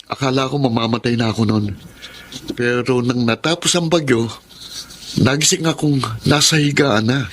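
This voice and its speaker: A man acts as a young grandson in a Filipino radio drama, devoted to his grandparents. He speaks sincerely and tenderly at a middle pitch. There is some noise behind him. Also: normal speed, natural voice. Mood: earnest, reflective.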